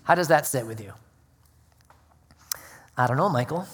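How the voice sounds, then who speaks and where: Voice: medium pitch, animated, normal speed, a bit bright, slightly rough. Preacher with long hall reverb. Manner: earnest preaching with prayer and scripture reading. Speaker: pastor. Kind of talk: sermon. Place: church sanctuary.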